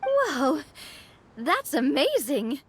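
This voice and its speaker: A woman playing an upbeat, sincere young adventurer girl in a game, expressive and encouraging. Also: sits high, extremely slow, slight background noise, heavily acted. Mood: surprised, playful.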